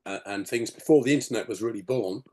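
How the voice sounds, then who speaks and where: A man in his sixties with a gravelly, slightly hoarse voice, rambling through his story on a video call with many "you know"s. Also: on the low side, quick pace, dark tone. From England. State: hoarse after a detox. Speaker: podcast guest. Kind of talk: casual storytelling interview.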